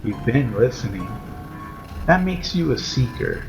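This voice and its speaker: A man hosting a horror story series, narrating a script in a theatrical, ominous, deliberate manner as a sinister keeper of shadows. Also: mid-range voice, very animated, gravelly, over music. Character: sinister keeper of shadows addressing his followers.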